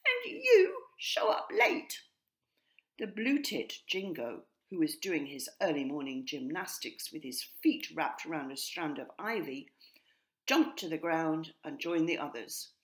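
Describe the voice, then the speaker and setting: Expressive, slow-paced woman storyteller. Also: low voice. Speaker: author reading her own story. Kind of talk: scripted children's story narration.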